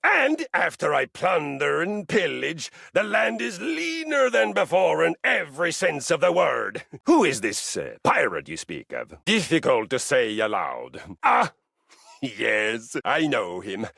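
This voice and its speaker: A pompous warrior treadmill for a game, raspy, super dramatic pitch swings, boastful. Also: male voice, very animated, measured pace, sharp tone, no echo, studio quality, exaggerated voice. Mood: amused, conversational. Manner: pompous, grandiloquent, bombastic. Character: boastful Norwegian treadmill, honor-bound violence-loving warrior. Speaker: video game character. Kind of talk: theatrical game character acting.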